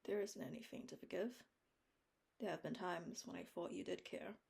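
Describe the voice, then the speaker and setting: An earnest young man, as a woman reader puts him on, speaks quietly and steadily in a low, gravelly voice. His tone is husky and restrained. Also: steady tone, moderate pace, full character voice. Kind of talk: audiobook character voice.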